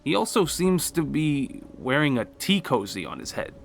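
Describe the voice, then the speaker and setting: A man's voice: on the high side, sing-song, normal speed, creaky, slight background noise. A man playing a blunt, foul-mouthed human rifleman in a fantasy audiobook, casual and sweary. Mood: baffled.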